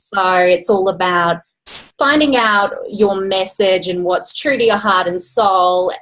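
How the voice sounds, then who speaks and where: A woman's voice: medium pitch, slow pace, a bit bright, rough recording. A woman who works in branding, introducing herself on a group video call nervously, with many hesitations. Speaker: branding designer.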